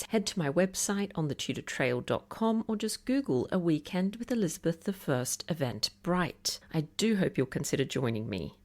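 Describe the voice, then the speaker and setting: A woman hosting a podcast, her voice sitting on the low side for a woman. She reads her announcements in a smooth, polished, upbeat way, then chats warmly with her guest. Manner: polished, enthusiastic read of announcements. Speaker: podcast host. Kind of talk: scripted podcast intro and interview.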